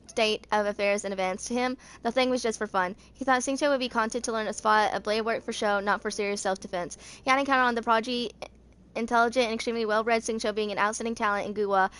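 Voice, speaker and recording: A woman's voice: a little high, a bit bright, clear, resonant tone. A woman in her twenties reading game character lore aloud as an amateur creator, stumbling over words and rereading them. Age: 20s. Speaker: amateur gaming fan video creator.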